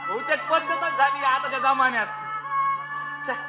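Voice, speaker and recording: A man's voice: very high-pitched, moderate pace. A man playing a fussy, impatient rural family member in a comic stage play, nagging and repeating commands in a Malvani Konkan Marathi accent. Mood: impatient, scolding. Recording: dull hall recording, loud music, long reverb.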